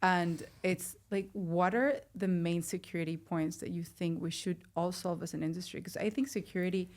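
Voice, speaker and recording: A woman's voice: lowish voice, moderate pace. A woman with a Mexican accent interviews a guest in a casual, conversational style. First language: Spanish. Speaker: podcast interviewer.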